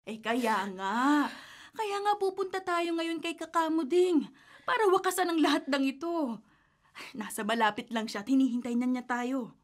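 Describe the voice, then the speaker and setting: A woman's voice: high-pitched, normal speed, natural voice. A woman voicing a worried village woman in a horror radio drama, concerned and urgent.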